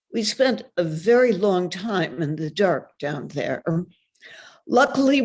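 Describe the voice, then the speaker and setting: A woman reads a first-person story in a measured storytelling manner. She takes her time, with a slow pace and a medium-pitched voice. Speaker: reader. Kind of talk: dramatic reading of a first-person memoir-like story.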